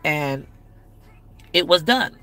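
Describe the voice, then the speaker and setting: A woman's voice: low voice, lively intonation, extremely slow, a bit bright. A woman in her thirties giving relationship advice in a blunt, candid, slangy way. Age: 30s. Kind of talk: casual advice talk to camera.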